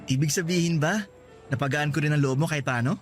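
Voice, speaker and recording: A man's voice: fairly high pitch, fast talker, soft background music, close to an everyday voice. A man playing a cheeky, friendly young co-worker who jokes at his own expense in radio drama dialogue. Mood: playful, caring. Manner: playful, teasing, animated. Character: cheeky, friendly male co-worker, self-mocking joker. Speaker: drama voice actor.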